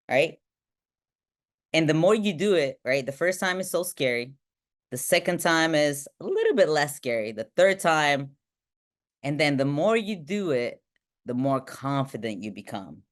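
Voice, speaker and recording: Energetic woman, motivational speaker. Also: unhurried. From Bulgaria. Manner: energetic, tags nearly every point with "right?", swings between high and low pitch. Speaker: motivational speaker and team trainer. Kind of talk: motivational talk to an online group.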